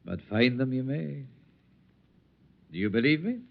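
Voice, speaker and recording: Whimsical tramp storyteller, swooping lively delivery. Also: male voice, medium pitch, a little slow, creaky. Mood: earnest, soothing. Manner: lilting, florid, theatrical speech. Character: whimsical lonely male tramp, a poetic storyteller outcast. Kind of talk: old-time radio drama acting. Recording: dull vintage radio recording.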